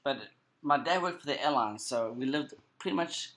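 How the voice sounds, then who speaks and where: A man with a South African accent and a medium-pitched voice speaks as an interview guest. His first language is Creole Portuguese. He talks conversationally and reflectively, building earnest personal stories through emphatic repetition. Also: expressive, moderate pace, a bit bright. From South Africa and Cape Verde. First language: Cape Verdean Creole Portuguese.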